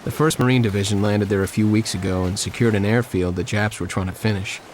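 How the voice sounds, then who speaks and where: An actor playing a weary, earnest young American Marine in a war game, narrating a letter home. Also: male voice, lowish voice, fairly even intonation, fast, background noise, lightly acted. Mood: grim, resolute. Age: young adult. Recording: music playing behind the voice. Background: music.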